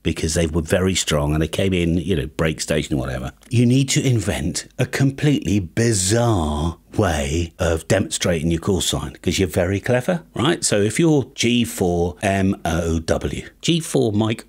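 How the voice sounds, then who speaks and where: A British man in his fifties hosting a tongue-in-cheek tutorial to camera in an animated, mock-serious way. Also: low-pitched, normal speed, few pauses, slightly rough. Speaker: video host. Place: small home studio with acoustic panels.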